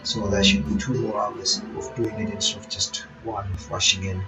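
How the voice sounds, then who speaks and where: A man's voice: lowish voice, little pitch movement, moderate pace. A sleepy man hosting a reaction video, rambling casually and reading game text aloud. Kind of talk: casual commentary while reading a visual novel aloud. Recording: dull lo-fi recording with game music.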